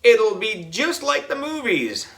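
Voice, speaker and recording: Man doing shrill, excitable yelling schoolkids. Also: slow pace, far from the mic, heavily acted. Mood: panicky.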